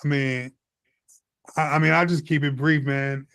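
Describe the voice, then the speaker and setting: A man's voice: mid-range voice, steady tone, moderate pace, a bit bright, smooth. A man from the United States talking as a panelist, casual, earnest and slangy, often checking that he is understood. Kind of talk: casual conversational panel talk.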